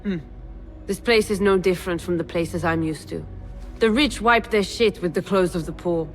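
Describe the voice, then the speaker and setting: An actress playing a blunt, streetwise assassin informant in a video game, speaking in a wry, plain-spoken way. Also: female voice, fairly low pitch, normal speed, soft background music, lightly acted, low-quality recording. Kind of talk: cinematic video game acting.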